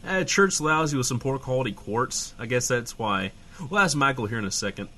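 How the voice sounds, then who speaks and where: A man playing a glib, excitable small-town radio host in scripted comedy banter. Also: medium pitch, a little fast. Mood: curious.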